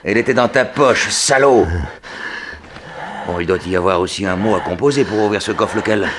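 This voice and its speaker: An actor playing a ruthless, cold spy, curt and menacing, in a 1960s French radio drama. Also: male voice, on the low side, normal speed, noisy background, natural voice. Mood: menacing, brutal. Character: ruthless male German spy and cold schemer.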